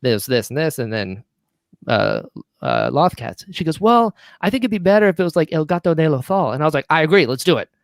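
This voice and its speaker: Male podcast guest, writer-producer type; higher register, dry recording, animated casual storytelling. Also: a little fast, vocal fry, no room sound. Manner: enthusiastic, chatty, quotes conversations.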